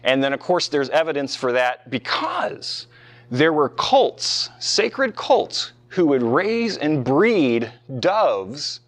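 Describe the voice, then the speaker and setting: A man's voice: a little high, very animated, slow. Man giving an informal history lecture. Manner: conversational, rhetorical questions, casual asides. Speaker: professor lecturing. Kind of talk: informal classroom history lecture with questions to the students. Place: live talk in front of a small audience.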